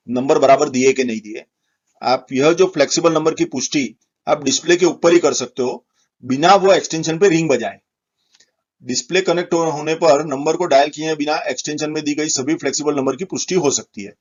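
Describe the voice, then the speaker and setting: A man's voice: on the high side, lo-fi sound. A man giving a methodical, step-by-step technical tutorial as an instructor. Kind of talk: step-by-step product tutorial explanation.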